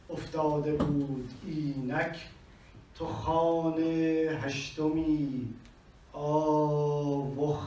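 A man with a Persian accent recites his poems slowly on a small stage, pausing for effect. His voice sits a little high for a man. The sound was picked up from far back in the room, with strong echo and faint background noise. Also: a little mellow. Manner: slow, measured recitation with dramatic pauses. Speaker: poet. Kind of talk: poetry reading on stage. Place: small venue with a live audience. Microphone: handheld mic on a stand, recorded from far in the room.

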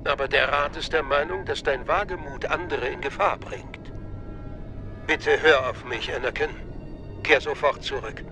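Ein Mann spielt einen väterlichen, höflich überredenden Kanzler in einem Zeichentrick-Hörspiel. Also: male voice, on the high side, normal speed, music in the background, natural voice. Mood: reproachful, gentle. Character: smooth, paternal male chancellor, politely persuasive. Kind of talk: German animated-series audio drama dubbing.

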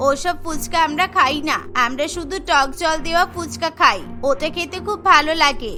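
Woman voicing a very high, bright cartoon schoolgirl. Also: moderate pace, faint music behind. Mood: eager, bossy. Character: stubborn, spice-loving little schoolgirl, pleading and cheeky. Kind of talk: Bengali cartoon story voice acting.

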